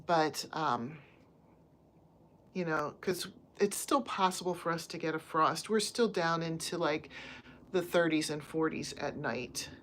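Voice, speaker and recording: A woman from the United States, a crochet livestream host, chatting casually with viewers and reading their comments from her phone at home. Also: fairly low pitch. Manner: chatty, friendly, talks to chat viewers by name. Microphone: phone on a stand.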